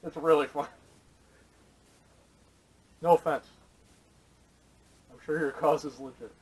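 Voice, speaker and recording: A man's voice: a little high, steady tone, takes it slowly, slightly rough. A man co-hosting a podcast in casual, sarcastic banter with plenty of swearing and teasing, caught on a camera microphone. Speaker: podcast co-host. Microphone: camera audio from a distance. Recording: distant camera microphone, poor audio.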